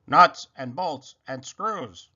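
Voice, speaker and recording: A man voicing a calm, literal-minded robot in a children's storybook, speaking in a stiff, measured, polite way. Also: fairly high pitch, breathy edge, muffled recording, heavily acted. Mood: flat, polite. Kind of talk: children's storybook read-aloud.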